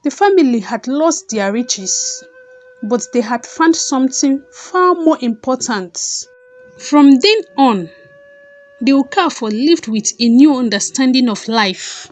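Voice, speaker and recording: A woman narrating a scripted folktale as a storyteller, in a measured and deliberate manner with pauses between phrases. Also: high-pitched, animated, rough recording. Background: background music.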